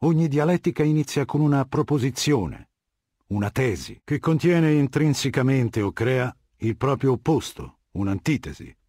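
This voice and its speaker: Game villain: middle-aged dictator warlord, medium-pitched husky voice, articulate, condescending, ruthless. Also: male voice, expressive, moderate pace, a little mellow, dry recording, clean studio sound. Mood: lecturing, condescending. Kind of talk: video game voice acting.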